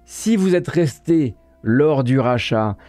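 A man's voice: medium pitch, expressive, slow, dark tone, no echo, soft background music. A man hosting a morning video game news show, commenting casually with many fillers, self-corrections and wry asides.

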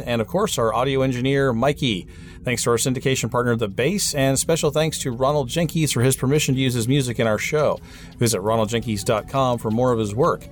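A man's voice: medium pitch, a little hiss or hum. A man with a nasal voice hosting a podcast, reading the credits and trading self-mocking jokes with his co-hosts. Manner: joking, self-mocking banter. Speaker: podcast host. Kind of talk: casual chat, scripted outro.

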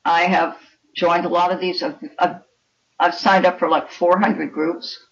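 A woman's voice: on the low side, little pitch movement, a little slow. Older woman, dull lo-fi sound. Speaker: guest author. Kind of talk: conversational interview talk on writing. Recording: dull, lo-fi connection.

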